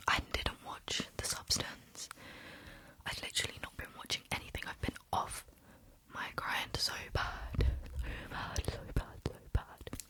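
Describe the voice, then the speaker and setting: Softly whispering woman. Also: measured pace. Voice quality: soft unvoiced whisper. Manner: chatty, repeats words in loops. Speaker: ASMR livestreamer. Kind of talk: whispered ASMR livestream chat.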